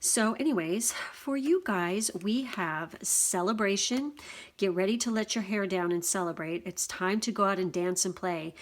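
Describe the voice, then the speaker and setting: A woman with a slightly worn, hoarse voice giving a casual general oracle card reading, swearing freely. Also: mid-range voice, moderate pace. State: voice going hoarse. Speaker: tarot reader.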